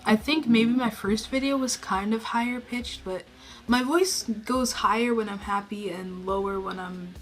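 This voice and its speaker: A teenage girl from New York State talking to the camera in her bedroom, speaking low and subdued, self-conscious and rambling with many fillers. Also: measured pace, faint music behind. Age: teens. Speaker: vlogger. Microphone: camera in her room.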